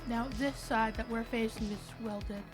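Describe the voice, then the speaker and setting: A woman with a fairly high voice plays a tabletop game. She talks casually and informally, thinking through her moves aloud. Faint music runs behind her. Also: steady tone, moderate pace, rough recording.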